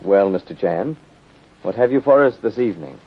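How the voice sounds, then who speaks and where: A man's voice: fairly low pitch, animated, normal speed, soft background music. A man announcing a 1930s radio mystery serial in the period style. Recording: muffled, noisy vintage radio recording.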